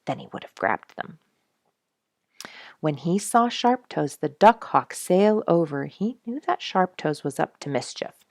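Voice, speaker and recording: A woman reading a children's story aloud as a storyteller, with expressive, dramatic emphasis and some hushed phrasing. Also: on the low side, a little slow, no room sound, studio quality.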